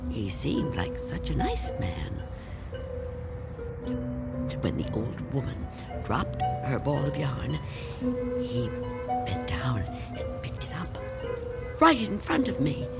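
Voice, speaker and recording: Loud music plays under a low-voiced, gravelly old woman in a radio drama. She is a fearful recluse, halting yet insistent. Her delivery swings dramatically in pitch. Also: sing-song, full character voice. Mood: haunted, hushed. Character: frightened reclusive old woman hiding from Death.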